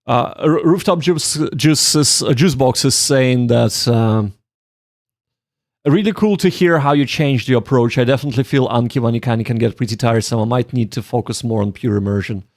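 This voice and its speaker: A man's voice: medium pitch, normal speed, darkish tone, no room sound. Man thinking aloud in a dry-sounding recording. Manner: rambling, thinking aloud, self-reflective. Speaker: streamer learning Japanese. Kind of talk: casual livestream chat with study and game reading.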